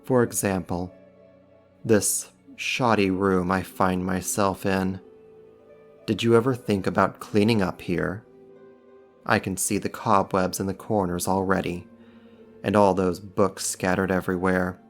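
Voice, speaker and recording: A man's voice: sits low, little pitch movement, measured pace, faint music behind. A man playing a powerful, sly demon in an ASMR roleplay, sarcastic and teasing with condescending mock politeness. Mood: amused, ominous, then disdainful. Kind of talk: ASMR roleplay, slow scripted monologue to the listener.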